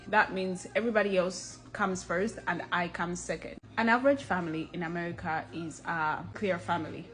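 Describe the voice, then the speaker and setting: A young woman from Uganda talking casually to camera, explaining and reflecting with frequent pauses. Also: fairly low pitch, echoey room. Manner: reflective, explanatory, pausing often. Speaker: content creator. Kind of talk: casual talk to camera on culture. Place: outdoors.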